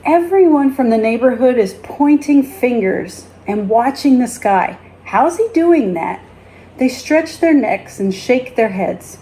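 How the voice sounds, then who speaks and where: A woman reading a children's story aloud as a teacher, in a deliberate, expressive storytelling manner. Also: a little high, poor audio.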